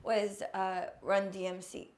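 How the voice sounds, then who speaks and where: A woman from Japan, a singer, chatting cheerfully as a podcast guest with a slightly raspy voice. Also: mid-range voice, flat delivery, a little slow, darkish tone. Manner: cheerful, mixes in Japanese words. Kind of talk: casual interview chat.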